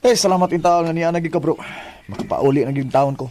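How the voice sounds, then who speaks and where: An actor with a Cebuano Filipino accent playing a friendly, easygoing, teasing co-worker in a Bisaya radio drama. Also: male voice, a little high, quick pace, loud music behind the voice, natural voice. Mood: friendly, consoling.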